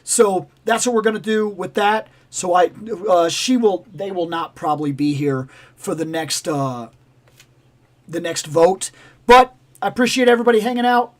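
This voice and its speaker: A man hosting a toy livestream in casual, rambling chat, with a voice going raspy and hoarse. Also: sits high, moderate pace. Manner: rambling, animated, self-interrupting. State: raspy, losing his voice. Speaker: toy channel livestream host.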